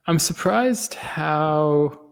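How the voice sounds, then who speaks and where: A man's voice: a little high, animated, very slow, gravelly, smooth. A man co-hosting a casual discussion show, talking informally in a voice with a reverberant tail. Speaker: podcast co-host. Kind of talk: casual discussion, reading out comments.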